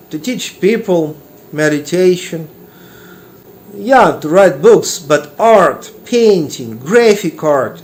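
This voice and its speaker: A man with a Russian accent telling a personal story slowly, with many pauses and fillers. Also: sits high. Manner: unhurried, halting, many fillers and restarts. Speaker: meditation teacher and artist.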